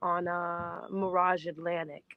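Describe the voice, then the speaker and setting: A woman in her fifties, a singer being interviewed, chatting in an animated way and laughing easily. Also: fairly low pitch, a little slow, vocal fry, narrow, tinny audio. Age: 50s. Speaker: guest singer. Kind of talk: casual interview chat.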